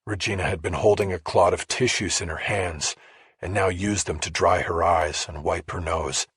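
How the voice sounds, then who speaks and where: Casting: male narrator for a crime thriller, very raspy, lowish register, measured, close dry sound. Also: steady tone, a bit bright, no echo. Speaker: audiobook narrator. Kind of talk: scripted first-person crime thriller narration.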